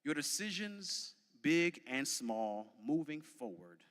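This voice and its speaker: Commencement speaker: American man, early 30s, bright forceful voice, deliberate preacher-like cadence, heavy hall reverb. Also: fairly high pitch, big pitch swings. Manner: emphatic, deliberate, preacher-like repetition with dramatic pauses. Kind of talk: motivational commencement speech. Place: large venue, graduation ceremony.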